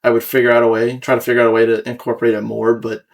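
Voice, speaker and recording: A man's voice: medium pitch, completely flat delivery, rapid-fire, creaky. A young American man hosting a casual podcast, explaining his own creative work in a rambling, self-critical way. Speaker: podcast host and video creator.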